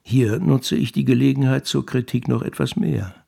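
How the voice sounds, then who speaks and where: A man's voice: mid-range voice, normal speed, vocal fry, mellow, breathy edge. An actor playing a wry, self-satisfied novelist who narrates and muses on his characters in a German radio drama, reflective and conversational.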